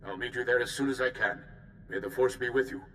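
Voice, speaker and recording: An actor voicing a calm, principled Jedi mission leader in a video game, with a formal, courteous manner. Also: male voice, mid-range voice, fast, a little hiss or hum, natural voice. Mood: urgent.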